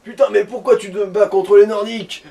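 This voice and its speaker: A man's voice: high-pitched, steady tone, brisk, vocal fry, warm tone, distant mic. A man chatting casually and explaining a video game to the person playing it. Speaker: co-player advising the host. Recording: voice off from the mic.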